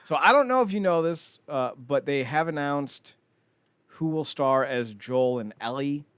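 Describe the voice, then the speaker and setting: A man's voice: a little high, animated, measured pace, a bit bright, smooth, no room sound. A man co-hosting a casual pop-culture podcast, rambling and swearing freely as he chats. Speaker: podcast co-host.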